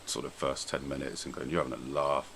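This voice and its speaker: A man's voice: rumbling low, monotone, fast talker, a bit bright. A British man hosting a podcast, chatting casually about films in an informal, blunt way. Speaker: podcast host. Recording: noticeable background noise.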